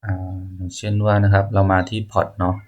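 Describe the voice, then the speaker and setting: A man presenting an unscripted software walkthrough, explaining step by step in a polite, halting way. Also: low voice, little pitch movement, muffled recording, soft background music. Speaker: tutorial presenter.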